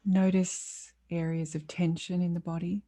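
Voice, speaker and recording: Female meditation teacher, soft mellow tone, unhurried and calm, long pauses between phrases. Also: on the low side. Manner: calm, soothing, measured delivery with long pauses. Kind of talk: guided meditation.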